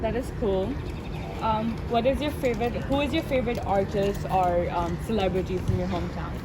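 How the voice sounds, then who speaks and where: A woman conducts a casual interview, tossing out short questions and easing in with "okay" and "um". Her pitch is middling for a woman. Clear traffic noise surrounds her in an outdoor urban setting. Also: fairly even intonation, moderate pace. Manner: brief, friendly questions with "okay" and "um".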